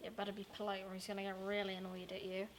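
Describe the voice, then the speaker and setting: A woman's voice: medium pitch, completely flat delivery, rapid-fire, lightly acted. A woman playing a wary guide who casually warns visitors, recorded at a distance. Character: wary guide warning visitors about Cheshire Cat. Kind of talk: casual in-character chat. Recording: distant voice with faint background noise.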